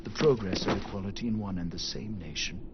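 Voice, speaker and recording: Man acting as solemn game narrator, low voice, reflective, deliberate, dull noisy audio. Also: natural voice. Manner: solemn, deliberate, literary phrasing. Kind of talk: scripted video game narration. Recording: dull game audio with clear background noise.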